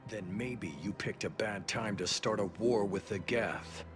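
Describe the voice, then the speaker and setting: An actor playing a stern, overstretched official in a video game, firm and curt. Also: male voice, on the low side, unhurried, faint music behind, natural voice. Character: stern, overstretched male official refusing pleas.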